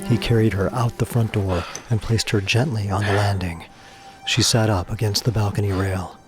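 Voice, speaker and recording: A man's voice: fairly low pitch, steady tone, normal speed. A man narrating a scripted audio drama in a theatrical, suspenseful storytelling manner. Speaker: narrator and voice actor. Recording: music behind the voice.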